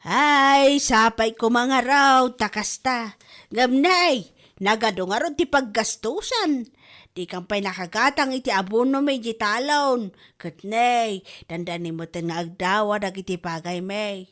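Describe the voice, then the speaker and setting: High-pitched nagging Ilocano village wife acted by a woman. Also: unhurried, fairly bright tone. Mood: worried, complaining. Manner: complaining, exclamatory. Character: nagging, exasperated village wife worried about money. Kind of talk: Ilocano comedy drama podcast acting.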